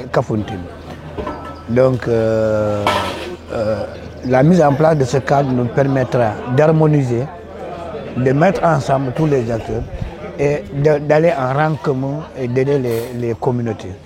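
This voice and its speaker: A man with a Senegalese accent gives an interview testimonial in an average-pitched voice. He speaks formally and haltingly, repeating phrases, with clear crowd chatter around him outside. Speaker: local workshop participant.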